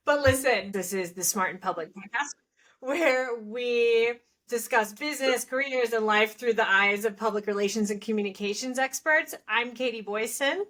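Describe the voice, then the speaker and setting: A woman's voice: a little high, moderate pace, fairly bright tone. A woman co-hosting a podcast in a casual, chatty style, with an upbeat, effusive manner. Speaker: podcast co-host.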